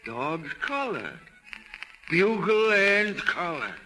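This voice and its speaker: Bright-voiced, folksy old American countryman. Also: sits high, very animated, long reverb tail, exaggerated voice, poor audio. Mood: agitated, grieving. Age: older. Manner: folksy, halting, repeats himself. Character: elderly rural man, humble, just freed from prison. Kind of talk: 1930s American radio drama acting. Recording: old 1930s radio broadcast, faint music.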